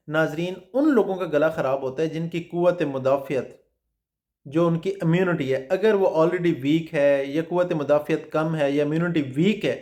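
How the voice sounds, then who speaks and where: A man's voice: a little high, darkish tone. A man hosting a health explainer as a food specialist, teaching patiently, asking himself rhetorical questions and repeating his points. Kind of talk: explanatory health talk to camera.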